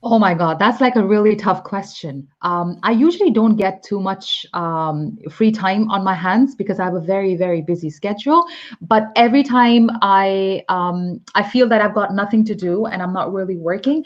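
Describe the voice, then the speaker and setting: A woman's voice: mid-range voice, moderate pace, highs cut off. A woman from Pakistan teaching English on a live video call, chatty and explaining with examples and many fillers. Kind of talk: live online English lesson.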